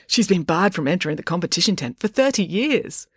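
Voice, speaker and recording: A woman voicing a cheerful, knowing female local in a children's audiobook, breezy and excitable. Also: on the low side, a little fast, husky, dry recording, studio quality. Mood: explaining.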